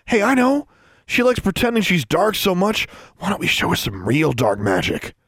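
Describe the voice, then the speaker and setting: A man's voice: lowish voice, sing-song, normal speed, vocal fry, a bit bright, husky, dry recording, clean studio sound, exaggerated voice. A man voicing a sneering teenage boy wizard, a scornful, taunting bully in an audiobook.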